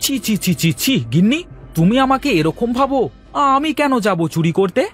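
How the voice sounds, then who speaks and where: A man's voice: very high voice, animated, normal speed, soft background music. A man voicing a boastful, sly village husband in a cartoon, theatrical and exclamatory, repeating his phrases. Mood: indignant. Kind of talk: animated cartoon voice acting in Bengali moral story.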